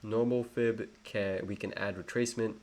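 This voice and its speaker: Low-voiced man with an English accent. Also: fairly even intonation, moderate pace, darkish tone. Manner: rambling, self-correcting, many fillers. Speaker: trading educator. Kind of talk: live screen-share trading tutorial. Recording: faint background noise.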